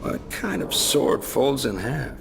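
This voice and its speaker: A man's voice: mid-range voice, big pitch swings, takes it slowly, gravelly, very noisy, heavily acted. An actor playing a treacherous, embittered aristocratic guard captain in a video game, a man musing smugly and greedily. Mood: greedy, musing. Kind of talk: dramatic video game acting. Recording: music behind the voice.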